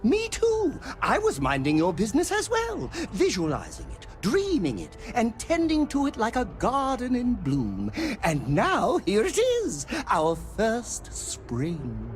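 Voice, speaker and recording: A woman's voice: on the high side, huge pitch swings, full character voice. An actress playing an obsessive, theatrically adoring female superfan of a space hero in a video game cutscene. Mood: dreamy adoration. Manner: theatrical, breathless adoration, swooping from shouts to drawn-out awe. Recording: music behind the voice.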